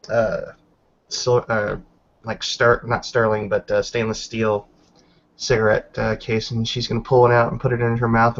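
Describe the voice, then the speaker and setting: A man improvising tabletop roleplay narration in a halting, descriptive way. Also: medium pitch, muffled recording, slight background noise.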